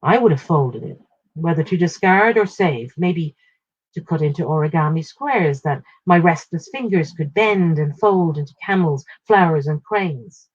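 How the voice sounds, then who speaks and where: A woman reading a literary first-person short story aloud in a measured, expressive way with pauses. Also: low voice, slightly rough, dull audio.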